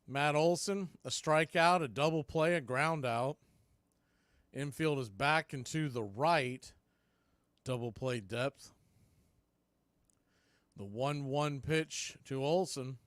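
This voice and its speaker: A man's voice: medium pitch, slow, pauses often, a bit bright, no room sound. An American man calling live baseball play-by-play in a folksy, partisan home-team style. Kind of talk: live radio baseball commentary.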